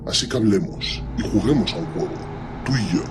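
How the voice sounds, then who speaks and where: A man's voice: on the low side, lively intonation, brisk, noisy background, lightly acted. A man playing an ancient superhuman warlord in an audio drama, speaking with cold, commanding disdain and menace. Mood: calm, threatening. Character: ancient imperious superhuman warlord primarch, menacing and coldly condescending.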